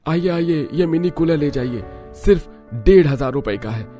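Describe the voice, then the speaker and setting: Cartoon hawker, acted by a male narrator: eager, pitched up, projecting his sales call. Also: animated, normal speed, mellow, faint music behind, close to an everyday voice. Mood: eager hawking. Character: street vendor man hawking mini coolers, eager. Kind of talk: cartoon street-seller call.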